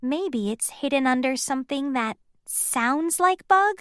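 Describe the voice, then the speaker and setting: A woman puts on a young storybook princess, sweet and eager. The voice is high and bright, with gentle, gushing phrases that swoop up and down. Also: expressive, measured pace, no echo, studio quality. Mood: curious.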